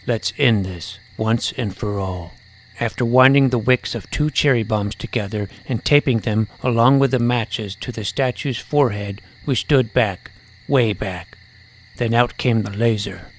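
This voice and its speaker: A man playing an earnest, self-important junior high superhero who narrates his own adventure with mock-dramatic delivery. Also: a little slow, slight background noise, lightly acted. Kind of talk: scripted first-person story narration.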